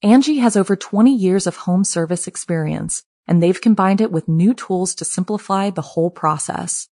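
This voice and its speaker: A woman delivers an advertisement in a smooth, polished sales voice. Her pitch sits a little low, and the recording is dry and close. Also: normal speed, mellow, dry recording. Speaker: ad announcer. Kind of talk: read advertisement.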